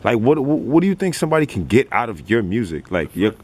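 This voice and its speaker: A man's voice: mid-range voice, big pitch swings, fast, a little mellow. A man interviewing a rapper, asking long rambling questions full of "like" and "you know". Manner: rambling, repeated "like" while asking. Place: outdoors in a city neighborhood.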